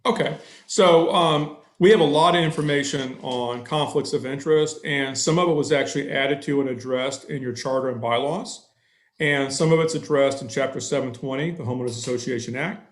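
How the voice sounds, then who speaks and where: A man's voice: medium pitch, moderate pace, echoey room. A middle-aged man, an attorney, answering a board's questions on a video call through a headset, thorough and a bit long-winded, with many fillers.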